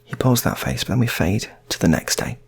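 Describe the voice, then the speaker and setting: A man's voice: fairly low pitch, sing-song, fairly quick, creaky, breathy edge. A man telling an improvised story in a casual, rambling way, often repeating his phrases. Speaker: storyteller, channel host.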